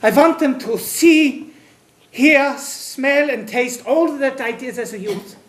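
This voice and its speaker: Actor playing a slow, wistful, devout man, echoey stage. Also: very high-pitched. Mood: nostalgic, tender. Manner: dreamy, measured, dramatic storytelling. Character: devout, wistful imprisoned German man in love, recalling his youth. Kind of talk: theatrical stage-play monologue and dialogue. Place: stage, recorded with room echo. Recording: echoey stage with background noise and clicks.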